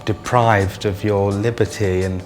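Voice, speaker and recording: A man giving interview testimony, deliberate, repeating himself for emphasis. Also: lowish voice, level tone, soft background music. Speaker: interviewee, former detained patient.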